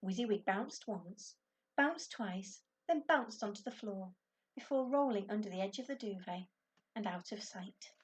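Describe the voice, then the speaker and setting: A woman's voice: mid-range voice, expressive, measured pace, breathy edge. A woman teacher reading a children's story aloud expressively to her class.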